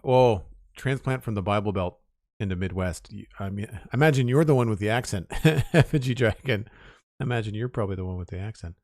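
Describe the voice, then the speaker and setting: A man's voice: on the low side, fairly quick, darkish tone, no room sound, studio quality. A young man from Nova Scotia with a Canadian Maritime accent, chatting casually to his audience while playing, rambling and counting aloud. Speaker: streamer. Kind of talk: casual gameplay livestream chat.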